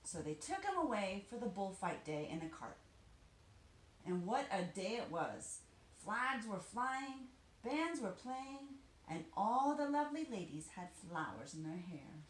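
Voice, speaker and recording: Female children's storybook reader, animated and expressive, dramatic emphasis, roomy sound. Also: mid-range voice, unhurried. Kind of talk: expressive read-aloud of a children's picture book. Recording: roomy echo, faint background noise.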